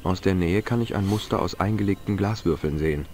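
A man's voice: low-pitched, a little fast, a little mellow, slight background noise, close to an everyday voice. A man playing an easygoing, curious young amateur investigator in an adventure game, conversational and slightly wry. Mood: observing, wry. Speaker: game protagonist and narrator. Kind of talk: adventure game voice acting, German dub. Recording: game music under the voice.

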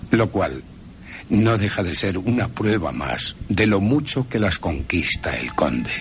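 A man's voice: low voice, very animated, a little slow. A man with an accent from Spain giving scripted, theatrical and wry narration as a radio presenter. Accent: Spanish (Spain). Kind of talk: scripted dramatic narration of a film, with character voices. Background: music.

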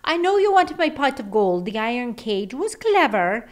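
A woman's voice: on the high side, sing-song, normal speed. A woman voicing a cocky, mischievous little old leprechaun who taunts in singsong storybook rhymes. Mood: taunting.

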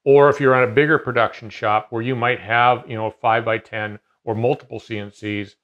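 A man's voice: mid-range voice, steady tone, fairly quick, a bit bright, muffled recording. A man in his fifties explaining a CNC machine in casual conversation, talking in a loose, rambling way with many fillers and restarts, seated in a large garage workshop.

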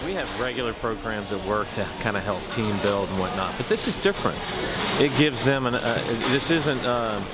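A middle-aged man being interviewed in a warehouse, talking casually with many fillers and pauses. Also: fairly low pitch. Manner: relaxed, halting with many fillers. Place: large food bank warehouse. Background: loud background music.

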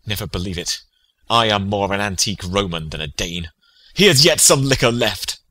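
A man's voice: lowish voice, expressive, normal speed, sharp tone, dry recording. A man playing a prince's loyal, grieving friend in a classical tragedy, speaking in a solemn, declamatory way. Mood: defiant grief. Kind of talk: classical stage tragedy, dramatic audiobook reading.

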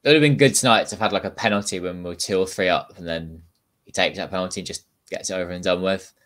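A young man co-hosting a casual football chat over a video call from home, talking quickly and chattily and reading out viewer comments. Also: deep voice, a bit bright.

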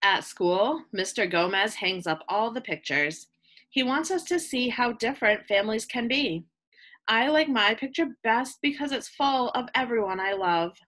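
A woman reading a children's picture book aloud like a teacher, with expressive delivery aimed at young listeners. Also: on the high side, normal speed. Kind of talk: children's read-aloud, scripted narration.

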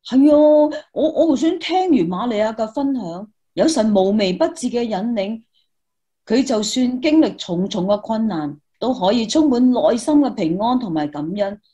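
A woman playing an eager high-voiced student reporter. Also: animated, normal speed, mellow, muffled recording, close to an everyday voice. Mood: grateful, eager. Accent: Hong Kong Cantonese. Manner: earnest, exclamatory. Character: eager, devout young female student reporter. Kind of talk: Christmas radio play dialogue.

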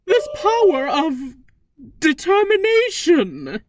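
A woman voicing a flamboyant, smug robot queen in a video game fan dub, with deliberate, theatrical delivery. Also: very high voice, huge pitch swings, no echo, studio quality, heavily acted. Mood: grand musing. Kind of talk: fan-dubbed video game villain monologue. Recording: background music under the voice.

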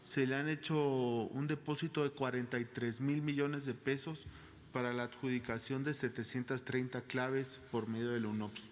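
A young man giving figures at a press briefing podium, brisk and factual. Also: medium pitch, steady tone, slight background noise. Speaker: government official. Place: large hall with podium. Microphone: podium mic.

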